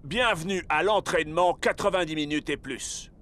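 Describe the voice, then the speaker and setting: A man's voice: a little high, moderate pace, fairly bright tone, close to an everyday voice. A man playing a tough, commanding football coach in a French cartoon dub, lecturing firmly. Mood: stern. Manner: firm, lecturing, drill-like.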